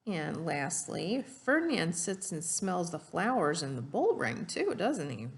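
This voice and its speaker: A woman's voice: fairly low pitch, sing-song, measured pace. A woman reading a storybook aloud and teaching children, explaining simply and putting questions to her young listeners.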